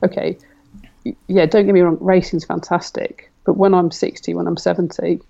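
Gravelly low-key woman guest. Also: moderate pace, lo-fi sound. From Britain. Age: 40s. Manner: relaxed, thinking aloud with many fillers. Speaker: guest athlete. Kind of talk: casual conversational interview.

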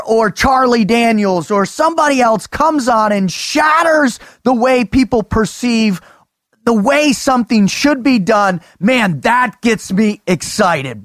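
An excitable man hosts a podcast, stacking up emphatic lines and showman flourishes. His voice sits high for a man, and the sound is close and dry. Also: no room sound. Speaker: podcast host. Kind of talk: energetic podcast banter.

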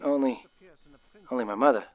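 A man's voice: mid-range voice, moderate pace, lo-fi sound, close to an everyday voice. A man playing a lonely, frightened caller in an old-time radio drama, stammering and hesitant as he pleads. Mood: lonely, subdued. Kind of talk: 1940s radio drama acting.

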